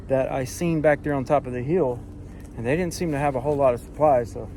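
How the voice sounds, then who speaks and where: A man's voice: fairly high pitch, darkish tone, a little hiss or hum. Winded man vlogging on a trail. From Florida. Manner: breathing hard, winded while hiking uphill. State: hoarse. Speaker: hiking vlogger. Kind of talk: casual vlog narration to camera. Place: outdoors on a forest hiking trail.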